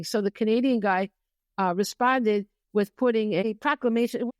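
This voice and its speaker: A woman's voice: mid-range voice, a little mellow, dry recording. A woman hosting a podcast, chatting casually and opinionatedly in an exasperated way with lots of rhetorical questions. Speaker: podcast host.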